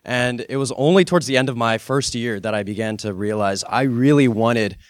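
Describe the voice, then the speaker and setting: A man's voice: medium pitch, fairly quick, no echo, clean studio sound. A young man, a student giving a personal testimony on stage, nervous and joking at himself as he restarts. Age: young adult.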